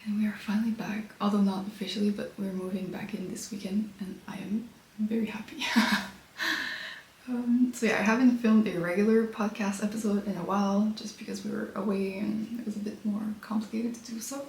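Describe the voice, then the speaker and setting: A woman's voice: mid-range voice, fairly quick. A woman with a slightly stuffy, congested voice talks in a loose, chatty way, showing off her knitting. Her voice rings around an echoey room. A faint hiss of background noise sits behind her. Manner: relaxed and chatty, with frequent fillers. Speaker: knitting podcast host. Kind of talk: casual chat showing knitting. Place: her apartment.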